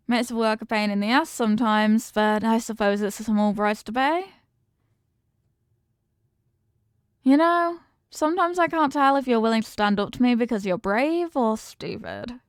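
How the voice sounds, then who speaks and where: Woman acting as a smooth, doting female mafia boss. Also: on the high side, normal speed, no room sound, clean studio sound, close to an everyday voice. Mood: teasing, firm. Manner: teasing, streetwise slangy talk with pet names. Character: confident, doting female mafia boss, tough but affectionate. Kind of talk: intimate ASMR-style roleplay acting.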